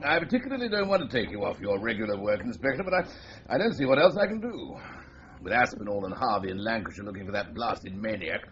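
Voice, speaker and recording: An actor playing a senior British policeman in a radio crime drama, briefing a subordinate with brisk, dry authority. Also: lowish voice, big pitch swings, fairly bright tone. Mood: brisk, exasperated. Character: brisk senior policeman, male superior briefing his inspector. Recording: muffled radio drama recording, faint noise.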